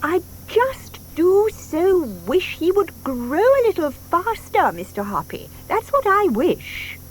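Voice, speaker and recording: Sweet doting older lady, very high and slow, gushing audio drama style, bit of hiss. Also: big pitch swings, exaggerated voice, low-quality recording. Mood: wistful. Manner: gushing, cooing, drawn-out emphatic delivery. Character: doting, sentimental older widow cooing over her pet tortoise.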